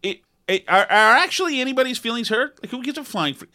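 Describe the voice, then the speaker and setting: A man with a high, bright and forceful voice banters on a podcast. He rambles and argues in a sarcastic tone, piling on mock praise. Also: expressive, fast. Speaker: podcast co-host. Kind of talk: casual banter.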